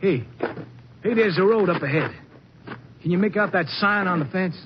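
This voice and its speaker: A scornful, pushy army deserter in an old radio play. His fairly high voice moves up and down a lot, full of slangy goading. The sound is muffled with clear background noise. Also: animated, normal speed. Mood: placating. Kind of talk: old-time radio drama acting.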